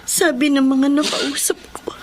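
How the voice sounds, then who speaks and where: A woman acting in a Filipino radio drama as a sorrowful imprisoned wife and mother, crying and pleading haltingly. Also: sits high, fairly even intonation, background noise, lightly acted. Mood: tearful pleading.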